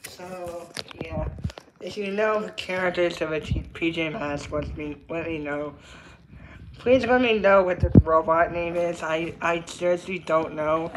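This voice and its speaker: A woman chatting casually and unscripted about toys, in a halting, repetitive way that trails off. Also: fairly low pitch. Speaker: toy reviewer. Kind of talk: casual unscripted toy review chat. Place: indoor room with hard tiled floor. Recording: echoey room, background noise, clicks.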